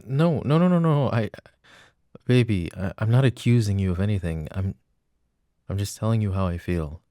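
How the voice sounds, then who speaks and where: A man's voice: lowish voice, normal speed, dark tone, no room sound, close to an everyday voice. A man playing a loving but jealous boyfriend in an intimate, hesitant roleplay ASMR performance on a close microphone. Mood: defensive, frustrated. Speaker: voice actor.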